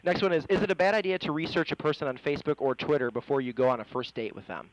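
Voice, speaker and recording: A man's voice: on the high side, rapid-fire, no echo, low-quality recording. An American man working as an interviewer, chatting informally as he reads out questions in a casual interview. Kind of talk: casual phone interview, reading out reader questions.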